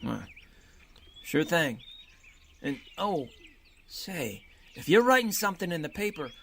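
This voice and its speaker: A man acting in a radio drama as a stubborn, spiteful working-class neighbor, defensive and folksy, with rough grammar. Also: a little high, sing-song, a little slow, fairly bright tone, slight background noise, full character voice. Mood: folksy, ingratiating.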